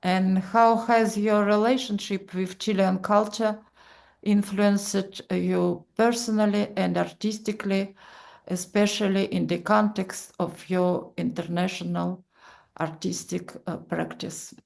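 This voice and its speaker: A woman interviewer asks her guest long questions. Her voice has a gravelly, fry-tinged edge, and she hesitates with frequent fillers. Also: medium pitch, steady tone, a little slow, darkish tone.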